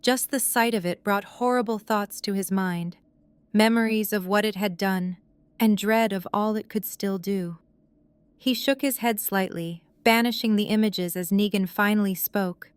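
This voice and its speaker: A woman narrates scripted dramatic fiction in an audio drama. Her voice is smooth and clear at a middle pitch, and the recording is very dry. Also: steady tone, measured pace, no echo.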